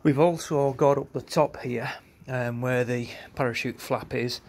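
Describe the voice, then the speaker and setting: A man's voice: mid-range voice, steady tone, unhurried, darkish tone. A man presenting a product in an informal walkaround, matter-of-fact and a little hesitant, recorded outdoors on a handheld phone. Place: concrete yard outdoors by outbuildings. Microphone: handheld phone behind the camera.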